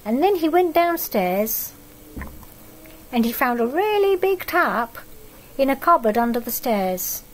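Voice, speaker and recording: A middle-aged woman reading a picture book aloud to young children, expressive and adding asides about the pictures. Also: high voice, a little hiss or hum, rough recording. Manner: expressive storytelling that pauses to comment on the pictures. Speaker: storybook reader for young children. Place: living room at home, indoors.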